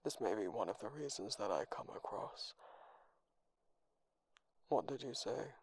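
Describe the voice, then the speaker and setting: A man voicing a dry, deadpan computer boyfriend who speaks formally and measuredly in an ASMR roleplay. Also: lowish voice, breathy edge, pitched below the performer's own voice. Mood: deadpan, explanatory. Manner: flat emotional delivery, measured, formal.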